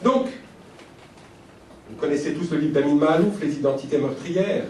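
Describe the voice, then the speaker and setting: A man from Algeria gives a conference talk in a high, light voice, thoughtful and measured as he stacks his points into lists. He is picked up from some distance away in a large, echoey hall, with a faint hiss of room noise underneath. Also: dark tone. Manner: reflective, measured, with pauses and lists. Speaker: speaker at a conference. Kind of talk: lecture.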